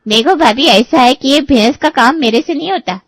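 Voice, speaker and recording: A woman's voice: high-pitched, animated, moderate pace, no echo. A woman voicing a blunt, streetwise village-born housemaid in a cartoon, with slangy, sassy talk. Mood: defiant.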